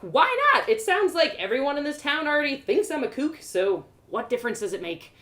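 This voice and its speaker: A woman's voice: high voice, brisk, pitched above the performer's own voice. A woman voicing an eager, defiant young farm woman who dreams of being a hero, in a dramatic storybook reading. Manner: excitable, dramatic.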